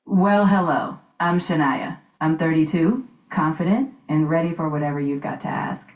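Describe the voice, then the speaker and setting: A woman's voice: sits low, normal speed, reverberant, dull audio, close to an everyday voice. A woman voicing a confident single woman in her thirties who speaks in a self-assured, upbeat way. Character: confident single woman, 32, dating show guest.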